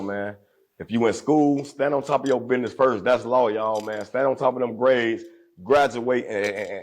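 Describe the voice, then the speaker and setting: Male interviewee, deep voice, aggressive street delivery, mid pitch. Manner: forceful, speaks with aggression.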